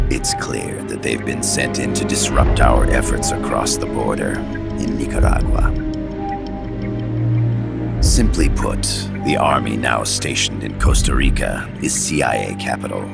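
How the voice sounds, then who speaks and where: A man playing an older Soviet intelligence professor in a game, courteous and calculating, speaking in a measured, formal way with a gravelly voice. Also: very deep voice, over loud music, full character voice. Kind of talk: dramatic video game cutscene acting.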